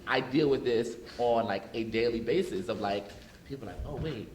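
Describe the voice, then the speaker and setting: A man from North Philadelphia speaking as an educator on a panel discussion, talking in an animated way with many fillers. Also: mid-range voice, normal speed, echoey room, slight background noise, low-quality recording. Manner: animated, frequent fillers like "like" and "you know what I mean". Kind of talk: panel discussion, casual conversational. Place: large room with audience.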